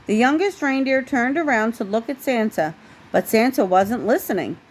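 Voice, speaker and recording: Female storybook reader, parent type, voice a bit high. Expressive narration broken by offhand, chatty asides. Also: normal speed, poor audio. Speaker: parent reading a bedtime story. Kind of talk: storybook read-aloud with casual asides.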